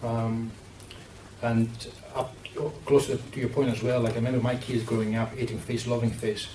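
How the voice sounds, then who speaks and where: A man's voice: mid-range voice, little pitch movement, moderate pace, mellow. Man, Greek accent, middle-aged, earnest panel talk, many fillers, far from mic, roomy, noisy background. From Greece. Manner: earnest, thoughtful, with frequent fillers and restarts. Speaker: panelist working with athletes. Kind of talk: roundtable discussion. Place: event room. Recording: distant mic in an echoey, noisy room.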